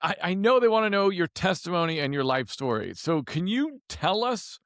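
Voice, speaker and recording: Gravelly, lively podcast host. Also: male voice, high voice, normal speed, no room sound, studio quality. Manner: friendly and welcoming, stammering slightly on openings. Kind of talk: interview hosting.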